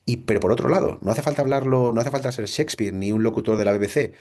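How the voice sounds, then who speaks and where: A man's voice: mid-range voice, fast talker, mellow. A middle-aged man from Spain with a Spanish accent, giving a reflective recorded lecture to a computer, full of rhetorical questions and restarts. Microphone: computer mic.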